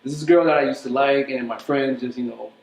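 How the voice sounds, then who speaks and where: A man's voice: mid-range voice, fairly even intonation, quick pace, gravelly, a little mellow. A man from Haiti chatting casually as an interview guest, often searching for words in an informal way. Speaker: interview guest, writer and filmmaker. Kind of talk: casual chat. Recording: echoey room.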